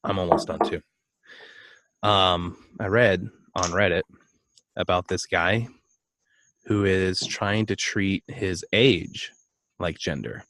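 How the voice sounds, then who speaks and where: A man with a fairly low voice rambles through relaxed, joking banter with a co-host, full of "like" and "um". The sound is dry and close, with no room around it. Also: takes it slowly, long pauses, dry recording. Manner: rambling, joking, lots of fillers. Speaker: podcast co-host. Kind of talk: casual chat.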